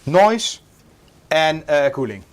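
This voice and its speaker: A man's voice: on the high side, sing-song, slow. A man with a Dutch accent hosting a live stream, talking casually with many fillers and restarts. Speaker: stream host.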